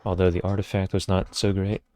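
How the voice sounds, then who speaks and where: A man giving casual, low-key commentary as he plays a game, thinking aloud as he goes. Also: little pitch movement, brisk, dark tone. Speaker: game streamer. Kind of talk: casual solo gameplay commentary. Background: video game music.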